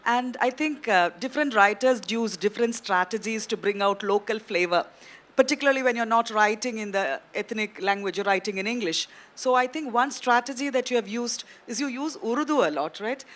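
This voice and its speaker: A woman moderating a literary festival panel, asking questions in measured, academic phrasing. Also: a little high, fairly bright tone. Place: festival stage.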